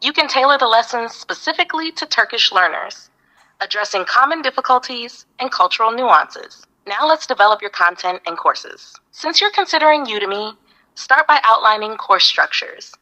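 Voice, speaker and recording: A woman's voice: a little high, lively intonation, moderate pace, pushed and bright, rough recording. A synthetic woman's voice of an AI assistant, giving upbeat, polished step-by-step advice. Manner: upbeat, polished, evenly paced listing. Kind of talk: scripted advice reading.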